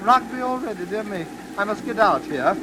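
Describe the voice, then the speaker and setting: A courteous, smug English gentleman with sinister knowledge, speaking in a fairly high voice. It is 1940s radio acting, with clear background noise over the old recording. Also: expressive, fairly quick. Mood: courteously dismissive. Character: genial, knowing English stranger hinting at dark secrets.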